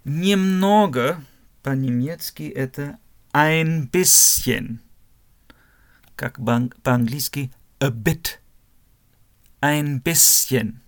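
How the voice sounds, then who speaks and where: A man from Germany, teaching a language lesson slowly, spelling words out letter by letter and repeating phrases. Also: fairly high pitch.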